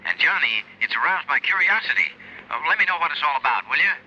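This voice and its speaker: A man's voice: on the high side, lively intonation, fast talker, sharp tone, full character voice. An actor playing a chatty, curious night-desk newsman in a 1950s radio drama. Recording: dull vintage radio broadcast.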